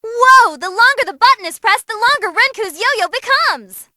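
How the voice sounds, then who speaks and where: A woman voicing an excitable young female demon who commentates on tournament fights in a bubbly, theatrical way for a game. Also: extremely high pitch, normal speed, no room sound, clean studio sound, heavily acted. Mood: amazed.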